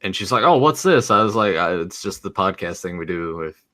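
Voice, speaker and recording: Deep-voiced Southern man rambling casually. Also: expressive, a little fast, bright, forceful tone. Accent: Southern American. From Southern United States. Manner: rambling, frequent restarts. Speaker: podcast co-host. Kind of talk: casual chat.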